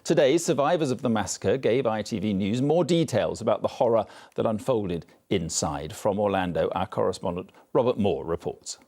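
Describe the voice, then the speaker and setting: British male anchor, lowish warm voice, formal newsreading. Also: expressive. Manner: measured, formal delivery. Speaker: news anchor. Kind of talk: scripted news reading.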